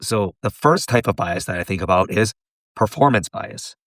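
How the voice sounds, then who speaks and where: A man with a hoarse voice from laryngitis, giving a teacherly tutorial and posing questions for the viewer to pause on. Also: on the low side, animated, a bit bright, dry recording. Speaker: pharmacist and educator. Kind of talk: tutorial lecture.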